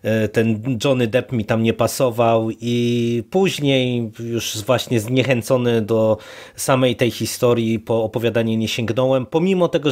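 A man's voice: mid-range voice, a little mellow. A man co-hosting a podcast in casual discussion, speaking hesitantly and building sentences in fragments. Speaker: podcast co-host.

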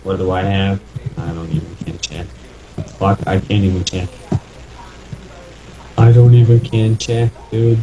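A man's voice: sits low, steady tone, a little slow, pauses often, noisy background. A young man chatting casually while playing a game with friends, joking crudely and swearing a lot. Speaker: gamer recording with friends. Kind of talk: casual gaming chat.

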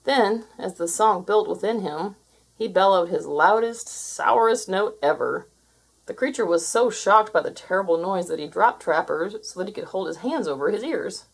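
A woman reading a children's storybook aloud as an expressive, scripted narration. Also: mid-range voice, normal speed, creaky, husky, poor audio. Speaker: storybook reader.

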